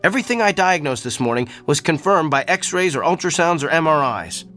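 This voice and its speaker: A man's voice: a little high, expressive, a little fast, sharp tone, faint music behind. An actor in a radio drama playing an earnest male doctor with an uncanny gift for diagnosis. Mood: insistent.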